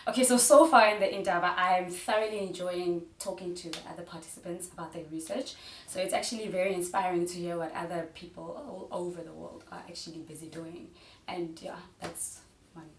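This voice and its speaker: A woman's voice: lowish voice, fairly even intonation, brisk. Upbeat woman co-host, echoey and distant. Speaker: podcast co-host. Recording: echoey room, distant mic, faint noise.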